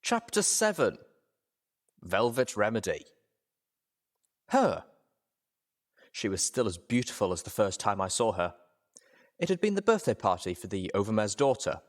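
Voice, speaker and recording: Low-voiced man narrating a story. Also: big pitch swings, unhurried, long pauses. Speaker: audiobook reader, show host. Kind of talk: dramatic first-person story narration.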